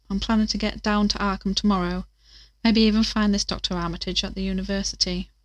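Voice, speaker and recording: A woman acting as an earnest investigator in a horror audio drama. She talks conversationally and thoughtfully, as if keeping an audio diary. Her mid-pitched voice sits dry and close. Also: dry recording, close to an everyday voice.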